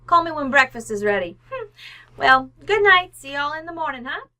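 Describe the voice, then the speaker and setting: Woman playing a brash, high-voiced, bright radio-comedy housekeeper. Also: sing-song, moderate pace, full character voice. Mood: stubbornly cheerful. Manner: breezy, slangy, blunt. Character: brash, uninhibited, horse-betting housekeeper, woman. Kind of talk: old-time radio comedy acting.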